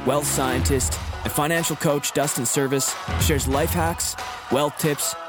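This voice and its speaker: A man's voice: on the high side, fairly even intonation, a little slow, over loud music. A man reading a polished, scripted podcast introduction. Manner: polished, announcing. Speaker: show announcer.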